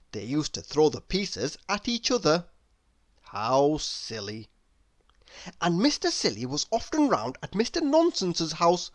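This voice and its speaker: A woman with a slightly low voice narrates a children's read-aloud. Her delivery is slow and expressive, with very wide swings of intonation, as she addresses the listener directly. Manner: expressive, asks the listener questions. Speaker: storyteller.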